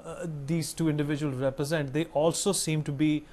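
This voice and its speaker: A man's voice: a little high, flat delivery, a little fast, darkish tone. A man with an Indian accent hosting a panel discussion, putting questions and dropping in short listening hums and interjections. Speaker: host.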